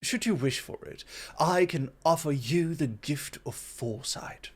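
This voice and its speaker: A man's voice: on the high side, expressive, slightly rough. Man playing a composed, formal god. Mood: calm, assured. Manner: formal, measured, gently superior. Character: composed, intellectual god of foresight addressing a mortal. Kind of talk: ASMR roleplay acting.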